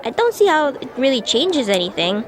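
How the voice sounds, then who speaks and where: A woman's voice: high voice, sing-song, a little fast, crowd noise. A woman playing a skeptical student classmate in an amateur radio drama, talking in a casual, blunt, questioning way. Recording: noisy street ambience with vehicles. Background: outdoor urban noise, vehicles.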